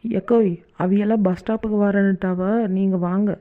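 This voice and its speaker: A woman's voice: mid-range voice, flat delivery, a little fast, close to an everyday voice. A woman voicing a cheery, coaxing cartoon village woman with a colloquial Tamil Nadu accent. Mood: cheery, organising. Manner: colloquial, chummy endearments. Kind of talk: cartoon comedy skit dialogue. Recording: tinny phone-like narrow band.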